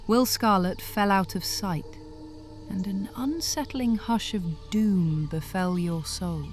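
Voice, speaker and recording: A woman narrating a scripted fantasy tale in a soft, measured, suspenseful ASMR storytelling style. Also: lowish voice.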